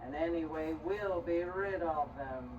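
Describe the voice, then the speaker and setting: A man's voice: a little high, unhurried, lo-fi sound, natural voice. A man playing a wicked, selfish woodcutter's wife in a stage story, scheming and scolding with theatrical emphasis. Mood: bossy, conniving. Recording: distant mic, clear background noise.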